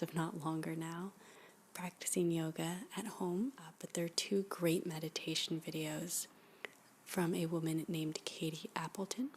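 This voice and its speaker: A woman host whispering softly in an ASMR style, as for a poetry reading, with a hushed, deliberate delivery and long pauses. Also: on the low side, slight background noise.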